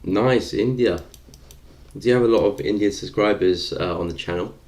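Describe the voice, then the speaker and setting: Deep gravelly man. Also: normal speed. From London, UK. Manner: relaxed, thinking aloud, reading out viewer comments. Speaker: live trading streamer. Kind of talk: casual live-stream commentary.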